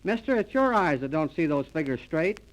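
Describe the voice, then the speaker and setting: An actor playing a polite, honest taxicab driver in a 1930s radio comedy sketch. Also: male voice, fairly high pitch, big pitch swings, brisk, poor audio. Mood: polite insistence. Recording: restored early 1930s radio transcription disc.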